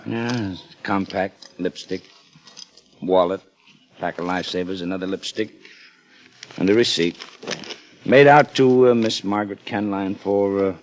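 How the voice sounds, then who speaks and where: An actor playing a matter-of-fact American police detective sidekick, blunt and businesslike, in 1950s radio crime drama. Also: male voice, low voice, fairly even intonation, unhurried, long pauses.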